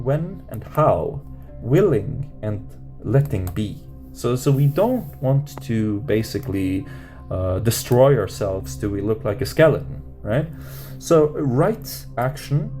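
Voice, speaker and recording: A man teaching meditation off the cuff, rambling with many fillers and restarts and lifting his pitch at the end of questions. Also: expressive, slow, mellow. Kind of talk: unscripted teaching talk. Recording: music playing under voice. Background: guitar music.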